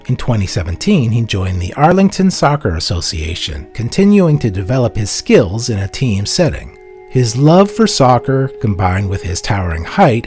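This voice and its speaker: A man narrates a scripted documentary in a polished, formal manner. His mid-range voice moves up and down with lively inflection, and soft background music plays underneath. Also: mid-range voice. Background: faint background music.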